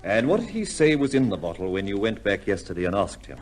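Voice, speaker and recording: Animated, assured gentleman investigator. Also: on the low side. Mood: gently probing. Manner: measured questioning, wry, commanding. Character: shrewd, assured upper-class gentleman investigator, fatherly. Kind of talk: old-time radio drama acting.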